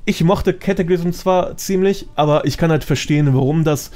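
A man's voice: fairly high pitch, moderate pace, darkish tone. A man giving his opinions as a guest in a casual podcast chat. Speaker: podcast guest, gaming content creator.

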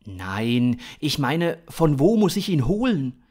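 A man's voice: fairly high pitch, big pitch swings, slow, a little mellow, breathy edge, heavily acted. A man voicing a bewildered, argumentative ordinary earthling with an indignant, questioning manner in an audio story. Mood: indignant, baffled.

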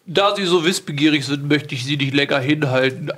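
A man's voice: a little high, brisk, very noisy, natural voice. A man playing a foppish, wealthy lord in an improvised audio drama, pompous, formal and condescending. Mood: formal, conspiratorial. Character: smug, rich, foppish English lord.

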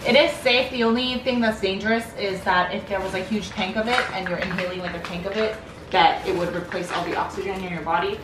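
A woman's voice: mid-range voice, fairly even intonation, fast talker. A woman in her twenties, a mother chatting casually in a family vlog, recorded by a camera in an apartment room. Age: 20s. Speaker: mother and vlogger. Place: indoors, small apartment room with wooden floor. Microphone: camera a short distance away. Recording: echoey room, distant camera, loud background noise.

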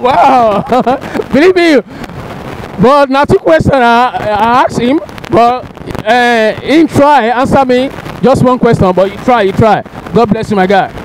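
Young man, Nigerian pidgin accent, very high voice, street interviewer, handheld mic, faint traffic noise. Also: unhurried, rough recording. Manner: lively, coaxing, hypes the viewers. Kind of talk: street vox pop in pidgin. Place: busy outdoor street market.

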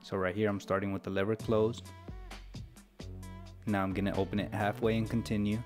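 A man's voice: fairly low pitch, level tone, fairly quick, a little mellow. An American man narrating an instructional tutorial in a casual, step-by-step way. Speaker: barber giving a tutorial. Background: background music with guitar.

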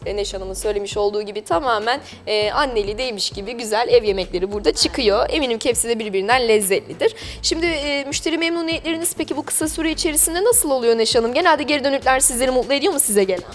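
A woman's voice: a little high, quick pace, hardly any pauses. A woman hosting a local TV interview in a chatty, polite, wordy presenting style. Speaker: TV programme host interviewing business owners. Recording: music behind the voice. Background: background music.

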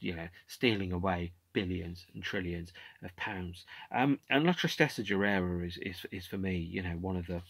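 A man's voice: low-pitched, creaky, breathy edge, dry recording. A man in his forties talks casually about an album from his home room, with lots of fillers and restarts. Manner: animated, gestures with hands, many fillers and restarts. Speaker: podcast host. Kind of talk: casual music commentary. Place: home room with shelves of CDs.

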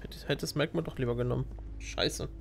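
A man's voice: medium pitch, steady tone, fairly quick, vocal fry, faint music behind. A man with a German accent giving casual let's play commentary. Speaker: let's player. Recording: faint game noise behind. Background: game audio.

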